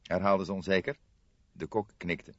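A man's voice: low voice, fairly even intonation, normal speed, no room sound, highs cut off. A man reading scripted literary narration as an audiobook narrator.

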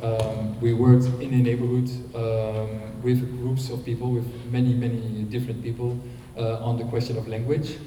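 A man presenting a project at a seminar, speaking politely and hesitantly with many pauses and ums. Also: mid-range voice, level tone, warm tone, a little hiss or hum. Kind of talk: seminar talk. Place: seminar room. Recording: distant mic in an echoey hall.

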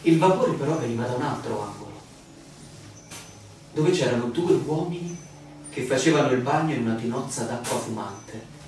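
An actor playing a weary, bewildered male stranger telling his story with deliberate theatrical emphasis in a radio play. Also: medium pitch, lively intonation, natural voice. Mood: weary, absorbed recounting. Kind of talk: theatrical radio drama monologue. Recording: very echoey, distant, noisy radio play recording.

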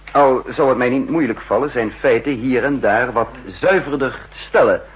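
An actor playing a cool, calculating spy chief who narrates in the first person, in a 1960s radio drama. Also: male voice, mid-range voice, husky, lo-fi sound, full character voice. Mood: sardonic. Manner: measured, sardonic. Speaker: narrator and lead.

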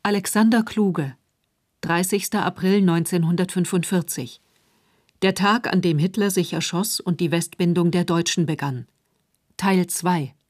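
A woman's voice: lowish voice, unhurried, a little mellow, dry recording, clean studio sound. A woman reading a scripted title announcement as an announcer.

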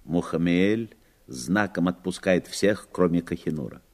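A man narrating a fairy-tale audio play as a measured storyteller, in scripted narration. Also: deep voice, lively intonation, background noise.

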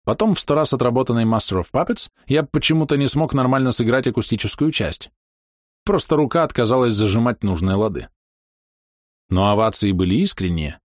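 A man's voice: mid-range voice, lively intonation, brisk, no echo, studio quality. A man narrating a first-person diary as a touring musician, in a wry, slangy way. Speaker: touring musician telling his tour diary.

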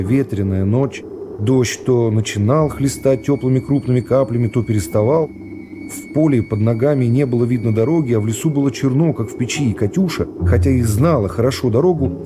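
Middle-aged guy narrating a literary radio serial, mid-pitched, expressive, music playing behind him.

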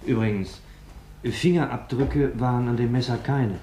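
An actor playing an earthy, chatty police assistant in 1950s radio drama, mixing dutiful reports with colloquial asides. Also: male voice, mid-range voice, normal speed, mellow, natural voice. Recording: hissy 1950s radio recording.

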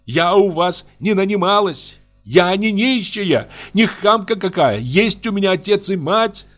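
A man voicing a furious young woman who shouts and sobs as she rails at her family. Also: high voice, animated, unhurried, soft background music, voice pushed up. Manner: shouting, sobbing, bitter.

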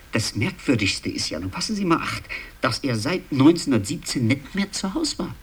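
A husky excitable old-records clerk, rambles and halts, pitch jumps all over, radio play. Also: male voice. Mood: animated, gossipy. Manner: rambling, halting, insistent, digressive. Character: rambling, excitable male land registry clerk and genealogy buff. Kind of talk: conversational radio play acting.

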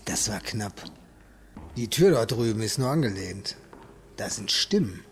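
An actor playing a cocky, hot-tempered special agent who wisecracks and threatens in an amateur pulp audio drama. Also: male voice, fairly low pitch, expressive, unhurried, over music. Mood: muttering, sly.

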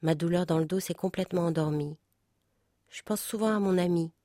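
An actress playing a woman who calmly and plainly recounts her ordinary life in a radio drama monologue. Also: lowish voice, a little fast, no room sound, studio quality, lightly acted.

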